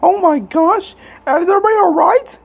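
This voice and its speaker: A woman's voice: extremely high pitch, normal speed, vocal fry. A woman voicing an anxious, caring young friend in a kids' cartoon, on a noisy track. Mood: worried.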